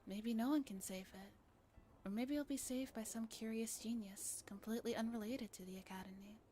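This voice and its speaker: A woman with a fairly high voice reads a story aloud in a soft ASMR style. Her delivery is gentle and breathy, often slipping toward a whisper, like a streamer narrating a visual novel. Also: moderate pace, a little hiss or hum. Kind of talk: soft-spoken ASMR narration with chat asides.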